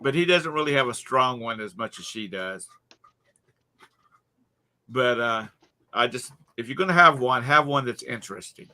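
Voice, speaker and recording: Man, Charleston accent, bright clear tone, mid pitch, rambling casual storyteller. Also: lively intonation, normal speed, pauses often. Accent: Charleston, South Carolina. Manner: rambling storyteller with many fillers and restarts. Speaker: co-host. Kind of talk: casual chat.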